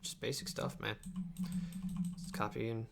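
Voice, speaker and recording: A man's voice: lowish voice, level tone, unhurried. A young man giving casual, offhand livestream commentary while he works at a computer, often muttering to himself. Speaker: video editor and streamer. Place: indoor room at a computer desk. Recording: clear background clicking and typing noise. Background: mouse clicks and keyboard typing.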